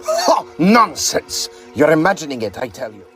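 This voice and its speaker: A man's voice: fairly high pitch, huge pitch swings, normal speed, over music. An actor playing a suave, ingratiating and unnerving male student in a period audio drama, given to inflated, grandiose talk. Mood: defensive.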